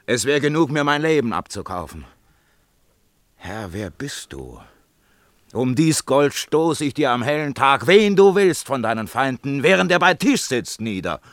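An actor playing a poor, servile labourer hungry for gold, declaiming deferentially and intensely in a verse radio drama. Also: male voice, mid-range voice, very animated, unhurried, fairly bright tone, no room sound, clean studio sound. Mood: eager, bitter.

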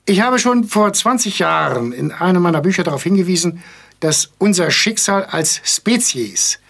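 Radio play: verbose old intellectual, husky, creaky voice, animated swoops, pedantic and absent-minded. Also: male voice, high voice, normal speed, full character voice. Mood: earnest, rambling. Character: elderly hard-of-hearing male author, pompous and rambling. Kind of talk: German radio play acting.

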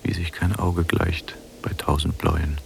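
An actor playing an old blind prisoner in a literary radio play, speaking in halting, solemn words and brooding. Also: male voice, low-pitched, little pitch movement, creaky, a little hiss or hum, full character voice. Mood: brooding, intense.

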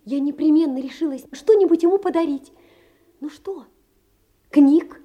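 An actress playing a tender, wistful young woman who narrates her memories in an audio drama, with dramatic pauses. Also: high-pitched, muffled recording, natural voice. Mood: eager, confiding. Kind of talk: first-person dramatic narration.

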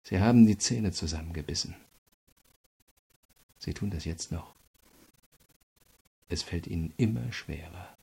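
Deep, gravelly state prosecutor. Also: male voice, warm tone, heavily acted. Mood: grave, accusing. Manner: measured, insistent, pointed questioning. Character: calm, probing male state prosecutor interrogating a prisoner. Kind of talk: German radio crime drama acting.

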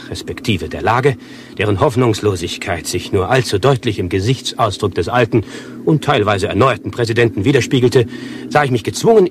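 An actor playing a pompous, self-important avant-garde playwright in a satirical radio play, speaking in long-winded, declamatory sentences with precise diction. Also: male voice, lowish voice, a little hiss or hum, natural voice.

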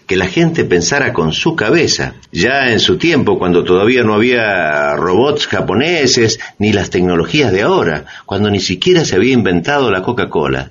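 An actor playing an earnest, emphatic storyteller, delivering dramatic scripted narration for radio theatre. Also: male voice, fairly low pitch, lo-fi sound, slight background noise.

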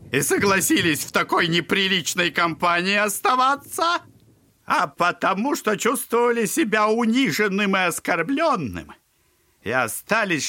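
Shrill, taunting old buffoon. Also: male voice, full character voice. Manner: taunting, theatrical, animated. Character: mocking, spiteful old buffoon needling a guest. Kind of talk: literary audio drama acting.

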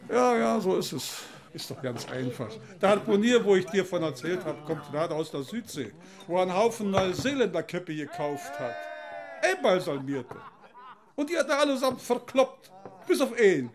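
A man playing a gruff, jocular innkeeper in a radio drama, talking colloquially and teasingly. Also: high voice, sing-song, normal speed, crowd noise. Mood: amused, loud.

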